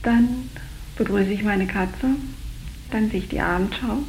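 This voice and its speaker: A woman's voice: mid-range voice, unhurried, low-quality recording. A saleswoman giving a casual interview account, with faint background noise on the recording. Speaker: department store saleswoman interviewee.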